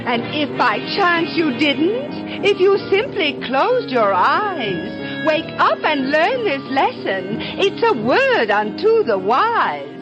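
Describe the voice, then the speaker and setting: A woman's voice: sits high, very animated, takes it slowly, hardly any pauses, heavily acted. An actress playing a worried, indignant heavenly lady clerk in a 1950s radio musical. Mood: earnest. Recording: dull 1950s radio recording, faint music.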